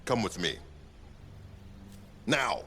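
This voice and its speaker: Casting: barking, authoritarian officer, low, hard-edged voice with huge inflection, game cutscene. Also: male voice, sing-song, drawn-out words, pushed and bright, slight background noise, full character voice. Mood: angry, curt. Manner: curt, authoritarian. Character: stern, barking male military commander. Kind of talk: video game cutscene acting.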